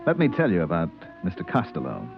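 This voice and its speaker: A muffled old radio recording of a timid spaceship purser. His voice is fairly low and very animated, with hesitant, stammering deference and a trusting, earnest air. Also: quick pace, creaky, loud music behind the voice, heavily acted. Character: timid, credulous male spaceship purser narrating his tale. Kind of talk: old-time radio drama acting.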